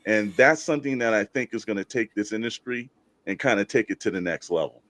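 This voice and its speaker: Low-pitched man hosting a casual panel. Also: brisk, fairly bright tone, no echo. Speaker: host.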